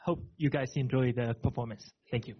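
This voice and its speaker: A man's voice: mid-range voice, fairly even intonation, a little fast, breathy edge. A young man from China, introducing himself as a music doctoral student in a friendly way. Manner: friendly, with small laughs between phrases. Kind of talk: brief self-introduction. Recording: muffled lo-fi recording.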